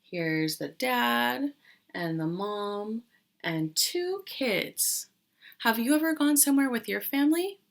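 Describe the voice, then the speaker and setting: Slow-paced female kindergarten teacher. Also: mid-range voice, gravelly, fairly bright tone. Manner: simple explanations with frequent questions to young listeners. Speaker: kindergarten teacher reading aloud. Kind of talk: read-aloud lesson for young children.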